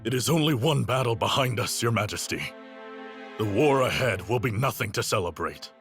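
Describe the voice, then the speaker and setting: A man's voice: medium pitch, lively intonation, moderate pace, over music, natural voice. A man acting a stern, seasoned and loyal army officer in a video game, formal and declamatory. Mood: grave. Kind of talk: dramatic game cutscene acting.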